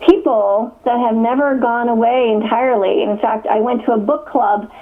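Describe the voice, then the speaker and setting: A chatty woman from Connecticut talks over a tinny, phone-like line. Her voice sits a little high and carries a gravelly fry, and she laughs often between loose, restarting sentences. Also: steady tone, normal speed. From Connecticut, USA. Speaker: author guest. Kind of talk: casual interview answers.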